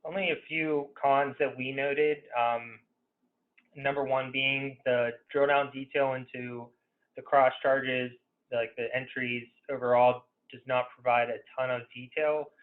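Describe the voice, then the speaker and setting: A man's voice: mid-range voice, level tone, unhurried. Man presenting over a phone-like line. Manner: conversational, hesitant, peppered with "you know". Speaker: consultant presenting a software webinar. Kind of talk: informal software demo walkthrough. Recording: narrow phone-like webinar audio.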